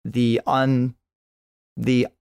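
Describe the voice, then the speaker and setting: A man's voice: mid-range voice, monotone, drawn-out words, creaky, darkish tone, smooth. A man guesting on a podcast, explaining things in a casual, earnest way.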